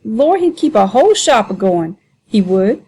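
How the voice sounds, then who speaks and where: A woman's voice: fairly high pitch, sing-song, moderate pace, mellow, slight background noise. A woman voicing a boisterous, teasing grown man with a drawling, mocking manner in a novel read aloud. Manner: mocking, drawling, broad dialect.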